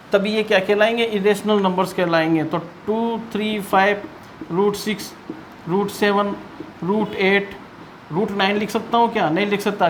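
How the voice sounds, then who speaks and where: Indian guy teaching maths, pretty high voice, asks questions then answers them, bit of noise behind. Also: rough recording. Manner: asks and answers own questions, repeats key points. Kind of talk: explanatory classroom-style maths lesson.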